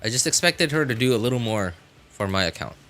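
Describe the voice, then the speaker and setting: Bright-voiced young-sounding man rambling on stream. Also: mid-range voice, animated, quick pace, faint music behind. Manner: rambling, self-deprecating. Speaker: live game streamer. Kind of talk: casual livestream chat while playing a video game.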